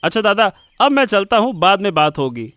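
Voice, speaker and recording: A downcast younger village man with a high, complaining voice, acted by a man. The delivery is plaintive cartoon storytelling, recorded dry and close. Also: very animated, brisk, sharp tone, no room sound, heavily acted. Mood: resigned. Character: dejected younger village man down on his luck.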